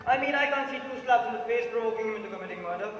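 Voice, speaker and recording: A young Indian-accented man playing a calm, reasoning juror in an amateur stage play. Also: extremely high pitch, very fast, faint music behind. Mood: calm, questioning. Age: young adult. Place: large auditorium stage. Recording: very echoey auditorium.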